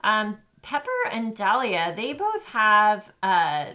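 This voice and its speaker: A woman's voice: mid-range voice, sing-song, slow pace, sharp tone. A woman co-hosting a children's show, explaining crafts in a friendly, encouraging way. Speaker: co-host. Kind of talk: casual how-to chat.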